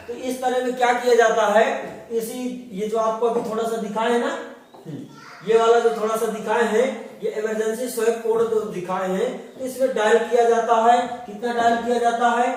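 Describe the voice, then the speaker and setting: High pitched guy teaching a class, keeps asking then answering himself, far off mic, big echo. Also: a little mellow. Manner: explains step by step, asking and answering his own questions. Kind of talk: classroom lecture. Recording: distant, very echoey room.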